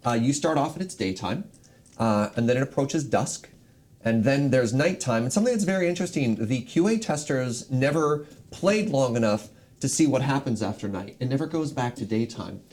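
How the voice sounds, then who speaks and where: A man's voice: medium pitch, expressive, moderate pace, a little mellow. A man co-hosting a stream, casually explaining things in a group chat. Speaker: stream co-host.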